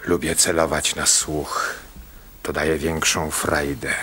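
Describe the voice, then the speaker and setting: A man's voice: a bass voice, little pitch movement, takes it slowly, bright, forceful tone, slight background noise, exaggerated voice. An actor playing a sly, insolent hired gunman in a radio drama. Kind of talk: radio play acting.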